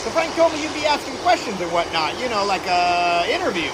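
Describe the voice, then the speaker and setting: Engine noise sits clearly behind a high male voice. It is an affable, folksy 1920s test pilot played by an actor, sure of himself, in a radio adventure. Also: big pitch swings, fairly quick. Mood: shouting, affable. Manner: folksy, shouts over the engine.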